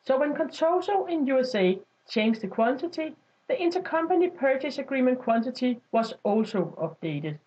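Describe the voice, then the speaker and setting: A woman reading a scripted step-by-step software tutorial as a voice-over, in an instructional style. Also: high-pitched, very animated, moderate pace. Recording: dull lo-fi voice-over.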